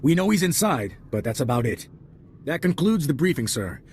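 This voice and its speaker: An actor playing a dutiful military corporal who gives a formal, clipped briefing and ends nearly every point with "sir". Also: male voice, fairly high pitch, very animated, normal speed, darkish tone, slight background noise. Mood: earnest. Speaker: briefing officer. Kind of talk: video game cutscene voice acting.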